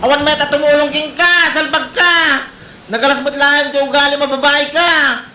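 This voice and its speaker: Woman acting a sharp-voiced scolding Ilocano neighbor. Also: high voice, fairly even intonation, normal speed. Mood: mocking. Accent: Ilocano Filipino. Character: blunt, scolding neighbor friend, mocking and unsympathetic. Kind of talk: Ilocano comedy radio-style drama. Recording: roomy echo, clear background noise.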